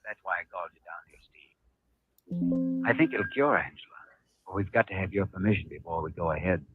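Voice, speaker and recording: Deep-voiced radio-drama doctor, played by a man, vintage muffled sound. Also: normal speed, faint music behind, natural voice. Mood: persuasive. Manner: firm, clinical, persuasive. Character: earnest, assured male hospital doctor. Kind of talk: 1950s radio drama acting. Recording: old 1950s radio broadcast, muffled narrow band.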